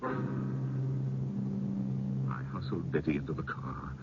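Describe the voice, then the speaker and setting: A man playing a stubborn, fearful old uncle in an old-time radio drama, with an emphatic, theatrical delivery. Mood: grave narration. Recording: dull, noisy old radio recording, rumble.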